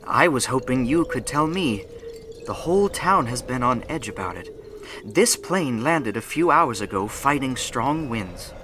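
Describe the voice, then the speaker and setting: An actor playing an anxious local airstrip worker in an old-time radio adventure. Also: male voice, medium pitch, animated, a little slow, over music, close to an everyday voice. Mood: uneasy.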